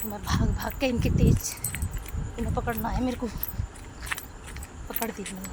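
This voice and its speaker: A woman's voice: a little high, fairly even intonation, moderate pace. Playful woman filming outdoors by fields. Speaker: vlogger. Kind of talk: casual outdoor vlog talk. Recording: clear outdoor noise and passing vehicles.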